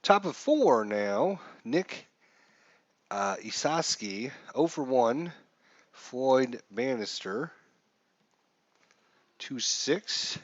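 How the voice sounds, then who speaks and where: A man streaming casual play-by-play commentary of a baseball game, with announcer-like calls and pauses. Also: medium pitch, animated, no room sound, muffled recording. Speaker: live streamer, host.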